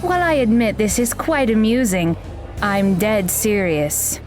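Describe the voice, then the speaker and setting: An actress playing a blunt, aloof young magical mentor who talks in a dry, deadpan way in an audio drama. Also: female voice, mid-range voice, a little slow. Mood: instructive. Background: background music.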